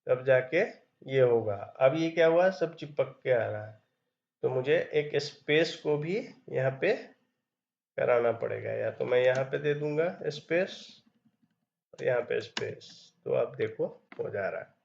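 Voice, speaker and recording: A man's voice: medium pitch, unhurried, creaky, darkish tone, muffled recording. A man teaching programming step by step, reading code aloud as he types. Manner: explains step by step while typing, reads code aloud. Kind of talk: screen-recorded coding tutorial.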